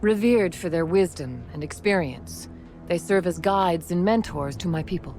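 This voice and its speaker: A woman acting a calm, dignified alien councilor in a video game, speaking in a formal, measured way. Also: fairly low pitch, soft background music, close to an everyday voice. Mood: grave, measured.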